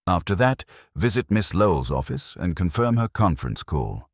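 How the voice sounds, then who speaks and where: A man's voice: sits low, sing-song, normal speed, no echo, clean studio sound, full character voice. A man voicing an imperious, pedantic older genius detective in an audiobook, with formal, precise, commanding diction.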